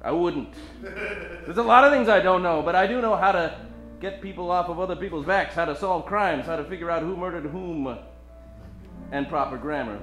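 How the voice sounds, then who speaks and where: A man's voice: high-pitched, normal speed, a bit bright, roomy sound, faint music behind. A man playing a wisecracking, hard-drinking private detective with joking hard-boiled patter in a live comedic radio play.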